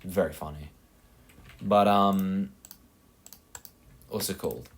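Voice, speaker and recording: A man's voice: low-pitched, steady tone, takes it slowly. A young Australian man rambling casually over his gameplay, with many fillers and self-mocking asides. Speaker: gaming channel host.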